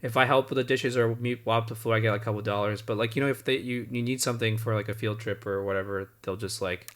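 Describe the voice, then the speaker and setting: A man's voice: on the low side, steady tone, fast, fairly bright tone. A young adult man co-hosting a podcast, laid-back with dry humor in casual chat. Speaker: podcast co-host.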